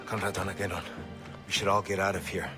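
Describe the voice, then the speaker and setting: A male actor voices a watchful rebel fighter for a game cutscene. The register is lowish, the manner urgent and cautious. Music is clearly audible behind him. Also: flat delivery, quick pace, lightly acted. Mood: urgent, wary. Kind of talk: video game cutscene acting. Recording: loud background music.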